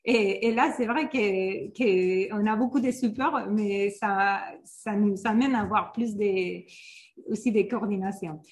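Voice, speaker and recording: A woman's voice: medium pitch, measured pace. A woman agile coach presenting an online workshop, with many hesitations and restarts.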